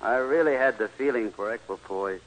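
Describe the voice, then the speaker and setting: An actor playing a meek, earnest greeting-card poet, hesitant and apologetic, in 1940s radio comedy style on a muffled old broadcast. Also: male voice, medium pitch, moderate pace, natural voice. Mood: regretful.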